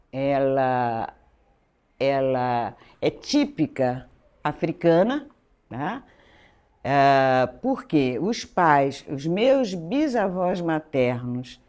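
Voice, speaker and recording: A woman's voice: sits low, mellow. A woman in her seventies from Pernambuco, Brazil, telling her life story in an interview, meandering and correcting herself as she goes. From Recife, Pernambuco, Brazil. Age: 70s. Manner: meandering, self-correcting storyteller who acts out dialogue. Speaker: French teacher and school director, guest.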